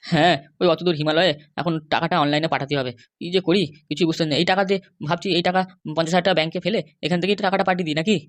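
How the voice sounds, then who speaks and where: Guy doing a henpecked west bengal husband in a cartoon, talks really really fast, wheedling and grumbling. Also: a little high, fairly even intonation, lightly acted. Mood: earnest. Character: henpecked, pleading middle-aged husband, small-time politician. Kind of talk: comic cartoon dialogue.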